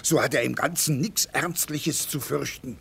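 An actor in a classic German radio play, playing a self-important, earnest army chaplain who speaks in preachy, declamatory rhetoric with a husky, gravelly voice. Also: male voice, medium pitch, moderate pace, a bit bright, full character voice. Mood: philosophizing, assured.

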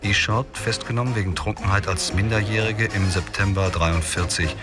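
An actor voicing a police report read out in a dry, matter-of-fact way. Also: male voice, fairly low pitch, fairly even intonation, normal speed, close to an everyday voice. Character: male officer reading a police report. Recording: music under voice.

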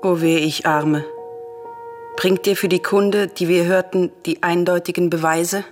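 An actress playing a proud, defiant, grieving Greek princess in a solemn radio drama, speaking in formal, declamatory verse. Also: female voice, fairly low pitch, fairly even intonation, measured pace, faint music behind, close to an everyday voice. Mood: grieving, lamenting.

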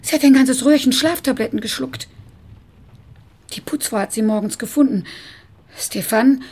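A woman's voice: sits high, normal speed, darkish tone. An actress playing a chatty, easily dismayed woman carer in a radio drama, exclaiming and fretful but deferential.

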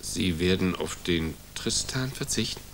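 A very deep, deadpan, macabre man. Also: measured pace, a little hiss or hum, heavily acted. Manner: dry, terse, deadpan. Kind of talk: radio drama acting.

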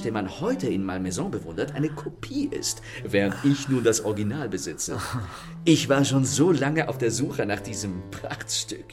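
An actor playing a self-assured, courteous and mocking gentleman thief in a German radio play, with polished theatrical manners. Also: medium pitch, close to an everyday voice. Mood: leisurely explaining.

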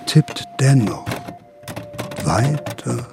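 An actor playing a sardonic, menacing tormentor and storyteller in a radio drama, mocking and deliberate. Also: male voice, medium pitch, big pitch swings, gravelly, noisy background, exaggerated voice. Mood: wry. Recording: music behind the voice.

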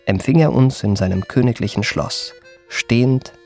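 An actor playing a suave young impostor posing as a marquis in a radio drama, with ornate, courtly diction. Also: male voice, medium pitch, normal speed, darkish tone, lightly acted. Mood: smooth, self-satisfied. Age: young adult. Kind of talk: first-person literary radio drama narration with acted dialogue. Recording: studio radio play with music. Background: music.

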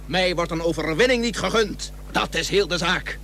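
Hot-headed, indignant naval rival. High, forceful voice, declamatory radio-play style, old recording with faint hum. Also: male voice, moderate pace, gravelly, exaggerated voice. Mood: indignant, proud. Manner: declamatory, defiant, clipped phrases. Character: proud, defiant, hot-headed naval commander, wronged rival. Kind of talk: historical radio play acting.

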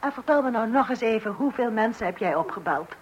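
A woman's voice: on the high side, animated, a little fast, dull audio, close to an everyday voice. An actress playing a long-suffering wife and mother in a Dutch radio play, hesitant and placating. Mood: stern. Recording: hissy old radio recording.

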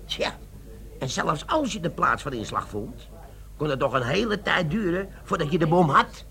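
An actor playing a gruff, bossy army bomb-disposal sergeant in a Dutch radio play. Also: male voice, a little high, big pitch swings, normal speed, sharp tone, exaggerated voice. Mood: explaining, animated.

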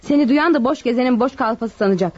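A woman's voice: a little high, fast talker, natural voice. An actress in a radio drama playing a bossy middle-aged wife and proud mother, scolding and impatient. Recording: dull lo-fi radio recording.

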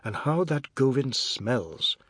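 Guy doing a put-upon sardonic fella, indignant, swingy pitch, storybook. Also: animated, slow pace, a bit bright, husky, no echo, studio quality, full character voice. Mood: sardonic grumbling. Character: beleaguered, sardonic, self-pitying man in a scuffle.

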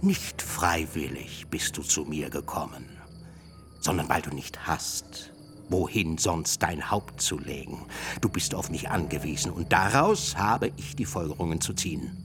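Very deep, scornful older uncle. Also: male voice, unhurried. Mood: cold, calculating. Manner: pedantic, blustering, scornful. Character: stern, hard-bargaining older uncle, gruff scornful master. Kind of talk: literary radio drama acting. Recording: music behind the voice.

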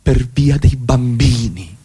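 A man's voice: mid-range voice, takes it slowly, warm tone, slightly rough. A man acting in radio theatre as a brooding married lover, needling and accusatory, insistently repeating his questions and demands. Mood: bitter, insistent.

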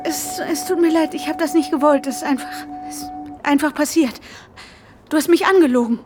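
An actress playing an anxious, obsessive woman in a German radio drama, tense and breathy, often dropping to a whisper. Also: high-pitched, brisk, music in the background, close to an everyday voice. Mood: desperate, pleading. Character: anxious, obsessive, unstable woman narrating her own desperation. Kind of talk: German radio drama acting with inner monologue.

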